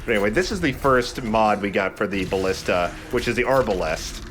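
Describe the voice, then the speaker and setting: A man's voice: lowish voice, expressive, brisk, over music. A man giving informal, explanatory and jokey commentary while playing a shooter game. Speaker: let's player giving commentary. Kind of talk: casual gameplay commentary over a shooter.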